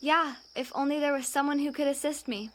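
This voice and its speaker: A woman's voice: high voice, fairly even intonation, a little fast, slight background noise, close to an everyday voice. A woman acting as an exasperated, stubborn young alien with formal, sarcastic wording in an audio drama.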